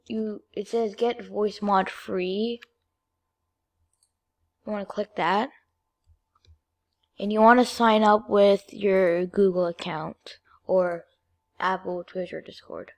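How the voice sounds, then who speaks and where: A female-sounding voice at a middling pitch walks through software steps. The delivery is informal and halting, in the manner of a homemade screen-recording tutorial. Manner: informal, halting step-by-step instructions. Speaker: video creator giving a tutorial.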